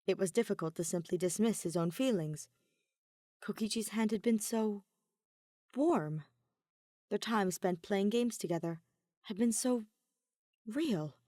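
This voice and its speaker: A woman narrating fan fiction like an audiobook, measured and emotive, with dramatic pauses. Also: medium pitch, lively intonation, warm tone, no echo, clean studio sound. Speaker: podfic reader.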